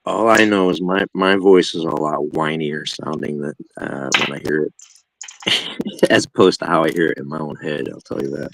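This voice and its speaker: A man's voice: extremely low pitch, steady tone, moderate pace, gravelly, noisy background. A man co-hosting a livestream, with a nasal, slightly whiny voice, chatting casually and laughing often. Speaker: livestream co-host. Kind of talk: casual chat.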